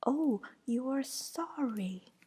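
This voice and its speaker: A woman voicing a furious, shrill young witch, whispering her lines in a higher pitch. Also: expressive, extremely slow, dark tone, slightly rough, heavily acted.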